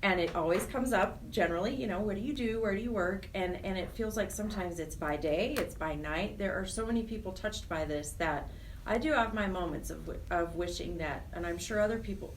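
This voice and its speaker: A woman's voice: fairly low pitch, fairly quick. A middle-aged American woman speaking earnestly and reflectively as a guest in a conversational radio interview. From Wyoming, United States. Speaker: interview guest, charity program director. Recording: distant mic with faint noise.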